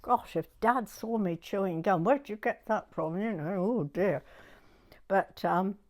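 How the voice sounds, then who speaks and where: A woman in her nineties with a southern English accent, reminiscing informally in an interview in a chatty, halting way with plenty of "you know". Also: mid-range voice, a little mellow. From Dorset, England. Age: 90s. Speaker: oral history interviewee.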